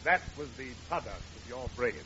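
A man's voice: mid-range voice, fairly quick, bright, forceful tone, natural voice. An actor playing a dry, exasperated man in a radio comedy, precise and sardonic. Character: exasperated, dry, matter-of-fact man judging a father's blueprint. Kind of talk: 1940s radio comedy acting. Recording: dull, hissy 1940s radio recording.

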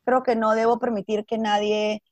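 A woman's voice: a little high, level tone, moderate pace, a little mellow, dry recording. A woman from Peru with a Peruvian accent, chatting casually and telling personal stories, slipping in English words and acting out what people said. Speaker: interview guest.

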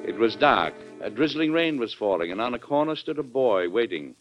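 An actor playing a genial, plain-spoken president who tells his own story in a measured, folksy way in an old-time radio drama. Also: medium pitch, lively intonation, close to an everyday voice. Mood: measured storytelling. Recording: dull old radio recording, background music.

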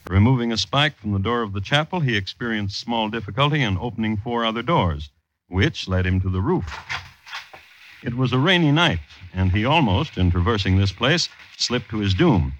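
A man narrating an old-time radio crime drama as a droll storyteller, measured, formal and dry. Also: on the low side, lively intonation, fairly bright tone, slight background noise. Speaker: narrator and host. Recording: dull old radio recording, music behind.

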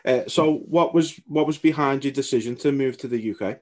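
Man, interviewer, middle pitch, easygoing, laughs along. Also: fairly quick, darkish tone. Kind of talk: casual interview questions.

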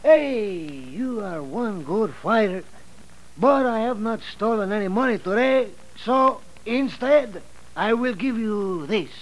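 A man's voice: sits high, lively intonation, takes it slowly, heavily acted. A man playing a boisterous, commanding Mexican bandit chief with swagger, in 1940s radio drama style. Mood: exuberant. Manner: swaggering, theatrical. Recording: noisy old radio broadcast.

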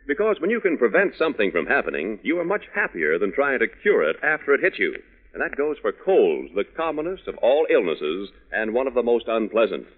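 A male radio announcer with a middling, very animated voice, reading in an emphatic, folksy 1940s style. The recording is narrow and tinny. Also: mid-range voice, brisk.

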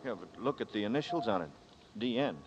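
A man's voice: lowish voice, big pitch swings, quick pace, vocal fry, a little hiss or hum. An actor playing a dazed man who narrates his own ordeal with tense, brooding delivery in 1940s radio drama style. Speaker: narrator and lead. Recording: muffled old radio broadcast with music.

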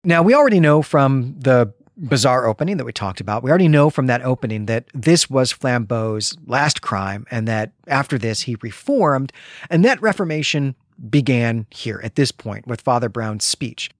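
Male podcast host, middle-aged, medium-pitched, conversational and explanatory, pausing mid-phrase, recorded dry. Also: lively intonation, no echo. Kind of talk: conversational literary plot summary.

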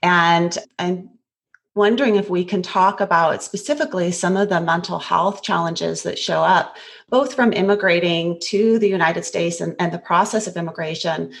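Woman host, lower voice. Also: normal speed, creaky. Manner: affirming, long framing questions. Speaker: interview host. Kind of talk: interview questions.

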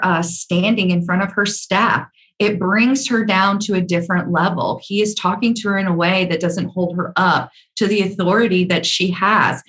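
A woman from the American South speaking with a measured, earnest manner and frequent ums, her Southern accent mostly hidden. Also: medium pitch, fairly bright tone. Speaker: speaker on workplace diversity. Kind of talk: talk with personal stories.

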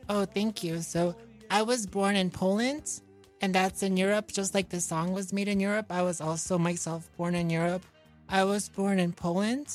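A woman's voice: medium pitch, fairly even intonation, normal speed, faint music behind. A woman from New York chatting casually as an interview guest, in a guileless, self-deprecating, simply worded way. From New York, United States. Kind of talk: casual chat.

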